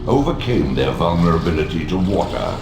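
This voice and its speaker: A man's voice: big pitch swings, fairly quick. A man voicing an ancient god-like spirit in a video game, solemn, portentous and commanding. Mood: solemn, instructive. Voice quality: deep, creaky. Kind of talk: dramatic video game narration. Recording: reverberant, loud music underneath.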